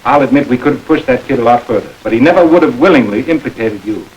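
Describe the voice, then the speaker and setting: An actor playing a firm detective who speaks bluntly and steadily, in a 1940s film. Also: male voice, mid-range voice, quick pace, darkish tone, husky, lo-fi sound, natural voice. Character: firm male detective, adult.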